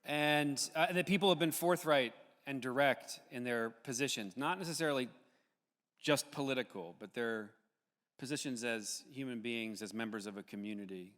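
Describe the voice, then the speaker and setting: A man moderates a live public discussion in a reverberant hall. His voice sits at an average pitch, and he pauses often as he hands the floor around. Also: a bit bright. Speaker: host of an audience discussion. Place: large indoor hall at a live event. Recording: echoey hall with long reverb.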